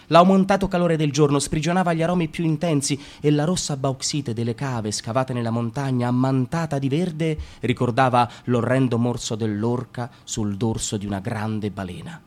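A man performing a radio theatre reading. He swings from sarcastic loud outbursts to low mutters. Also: normal speed, hardly any pauses. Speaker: stage reader.

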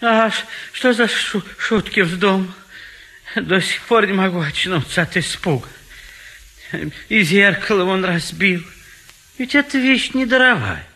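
A high, bright-toned man's voice, drawn out and slow, an indecisive bachelor in a classic comedy play. He is fussy, plaintive and easily rattled. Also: expressive, heavily acted. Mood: rattled, peevish. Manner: slow, plaintive, hesitant grumbling. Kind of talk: classic stage comedy audio play acting.

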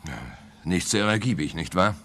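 A rich man's son in a radio play, a client with a low-pitched voice. He speaks in an earnest, formal way, a little prim and often hesitant. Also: normal speed, a bit bright. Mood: hesitant, puzzled.

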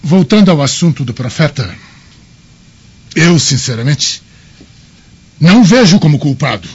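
A man playing an authoritative, troubled Roman governor in a radio drama, with formal, deliberate delivery. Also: fairly high pitch, big pitch swings, slightly rough, full character voice. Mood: reflective. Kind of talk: dramatized radio play acting. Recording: muffled lo-fi radio drama recording.

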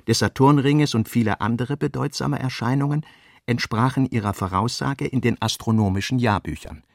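A man reading literary prose as a radio play narrator, measured and articulate. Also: fairly low pitch, creaky, no room sound, studio quality.

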